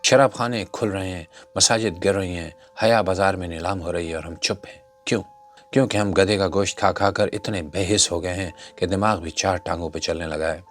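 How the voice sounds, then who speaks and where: A man's voice: low voice, steady tone, fairly quick. A man delivering scripted social commentary in a rhetorical, admonishing, exhortative manner. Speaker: narrator and commentator. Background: background music.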